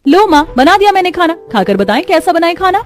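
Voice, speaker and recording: Young bride, cartoon moral tale, played by a woman: high, earnest, put-upon, pleading. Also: very animated, fast. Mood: eager, obliging. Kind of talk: Hindi cartoon story dubbing.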